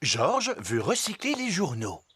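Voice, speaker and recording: A man's voice: a little high, wildly swooping pitch, a little slow, very noisy. A man narrating a children's cartoon in simple scripted storytelling style. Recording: cartoon music behind the voice.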